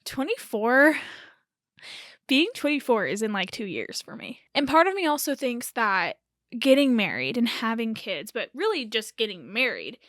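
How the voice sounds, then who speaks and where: A young woman in her early twenties speaks in a slightly high, hoarse and raspy voice, still getting over a cold. She rambles and corrects herself as she chats alone on her podcast. The sound is dry and close. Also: very animated, normal speed, fairly bright tone, no room sound. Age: early 20s. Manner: rambling, self-correcting, laughs nervously. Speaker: podcast host. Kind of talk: casual solo chat.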